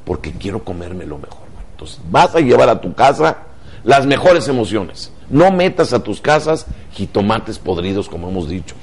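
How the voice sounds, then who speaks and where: A man's voice: fairly low pitch, very animated, normal speed, a little mellow, breathy edge. A man with a Mexican accent giving motivational talk show commentary, emphatic and fond of rhetorical questions.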